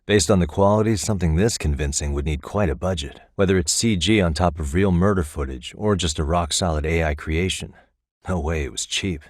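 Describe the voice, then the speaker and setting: An American man voicing a level-headed, thoughtful detective in a video game, with measured, articulate delivery as he reasons aloud. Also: low-pitched, no room sound, clean studio sound. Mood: analytical, serious. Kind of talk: scripted game dialogue.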